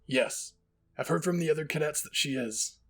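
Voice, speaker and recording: A man voicing a stern, gruff male military commander in an anime story, curt and commanding. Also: on the high side, normal speed, a bit bright. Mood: calm, matter-of-fact.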